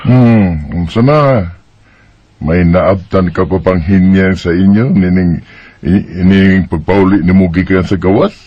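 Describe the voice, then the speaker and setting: Deep, muffled blunt male buddy played by an actor. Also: unhurried, no room sound. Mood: needling. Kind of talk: radio drama acting. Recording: close, dry, muffled sound.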